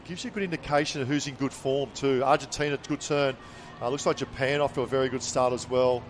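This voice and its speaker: A man calling live beach sports as play-by-play commentator, excitable and quick to react to the action. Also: mid-range voice, slight background noise. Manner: excitable, calls the action in bursts.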